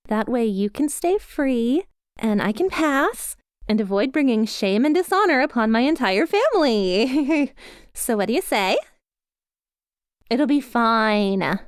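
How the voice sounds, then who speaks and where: A woman's voice: a little high, no room sound, studio quality. A woman playing a bubbly, inept young yandere schoolgirl in an ASMR roleplay, chatty, melodramatic and wheedling as she talks to her crush. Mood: hopeful, coaxing.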